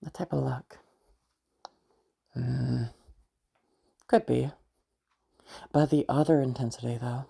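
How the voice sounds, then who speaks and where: A woman playing a friendly young woman with a hidden obsessive side, in roleplay audio addressed to the listener, with a husky, hesitant delivery. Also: extremely low pitch, fairly even intonation, slow pace, pauses often, warm tone, full character voice. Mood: brooding, musing.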